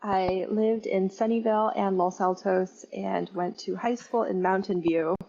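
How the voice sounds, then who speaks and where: Woman, panelist, gravelly voice, matter-of-fact, dull lo-fi video call sound. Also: mid-range voice, fairly even intonation, measured pace, mellow, slight background noise. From California Bay Area. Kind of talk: casual panel chat.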